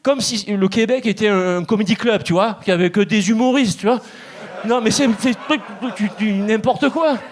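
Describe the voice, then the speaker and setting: A man's voice: high voice, moderate pace. A man in his thirties from Marseille with a strong Marseille accent, doing a chatty stand-up set on stage. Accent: Marseille French. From Marseille, France. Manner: chatty, laughing, puts on voices for quoted people. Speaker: stand-up comedian. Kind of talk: stand-up routine. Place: comedy club stage.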